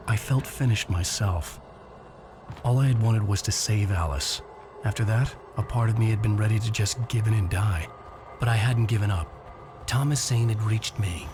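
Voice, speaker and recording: An actor playing a troubled writer who narrates his nightmare in a grave, measured, introspective way. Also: on the low side, natural voice. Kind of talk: scripted first-person game narration.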